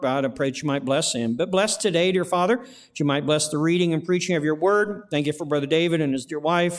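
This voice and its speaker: A man pastoring a church, giving announcements and praying, folksy, repeating words with many fillers. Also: on the high side. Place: church sanctuary.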